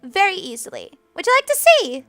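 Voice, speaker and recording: A woman's voice: very high-pitched, huge pitch swings, a little fast, a bit bright, dry recording, soft background music, voice pushed up, heavily acted. A woman voicing a spoiled, haughty young noblewoman who taunts and sneers. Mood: taunting.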